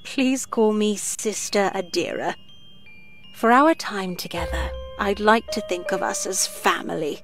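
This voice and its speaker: Over prominent music, an actress plays a stern older nun from a visual novel. She speaks slowly and deliberately, with patronizing composure and pious authority. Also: medium pitch, lightly acted. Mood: calm, instructive. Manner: measured, deliberate, condescending. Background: game background music.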